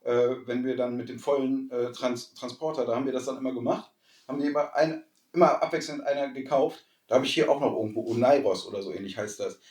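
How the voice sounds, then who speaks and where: A man's voice: medium pitch, fairly even intonation, quick pace, darkish tone. A man co-hosting a podcast, talking casually and informally among friends. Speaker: podcast co-host. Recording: echoey room, distant from mic.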